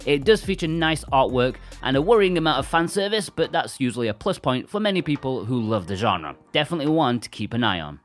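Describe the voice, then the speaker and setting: A man's voice: medium pitch, very animated, a little fast, hardly any pauses, faint music behind. A man presenting a scripted video game review as narrator.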